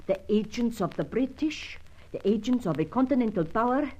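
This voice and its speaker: An actress playing a distressed, fearful European widow who confides in formal, careful, melodramatic phrases in a radio adventure serial. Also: female voice, fairly high pitch, animated, moderate pace, darkish tone, muffled recording. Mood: grave, explaining.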